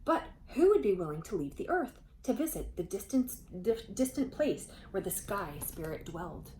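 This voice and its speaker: A woman reads a picture book aloud for young children. She tells the story expressively, in an animated manner, and often turns to her listeners with a question. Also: medium pitch, moderate pace, a little hiss or hum.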